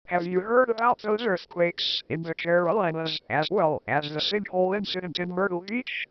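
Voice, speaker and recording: A man acts out an emergency warning staffer with a notably high male voice. He breaks grim news in a hesitant, understated way, as in a hobby audio drama. The sound is close, dry and a little muffled. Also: normal speed, no room sound, natural voice. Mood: uneasy, understated. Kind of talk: scripted amateur audio drama dialogue. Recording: dry, slightly dull lo-fi audio.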